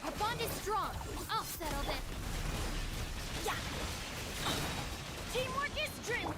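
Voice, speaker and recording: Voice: very high-pitched, big pitch swings, slow pace, exaggerated voice. An actor voicing a spirited, heroic young adventurer with bold battle cries in a video game. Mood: fired up. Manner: exclamatory, bold. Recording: game audio under loud music. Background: loud game music.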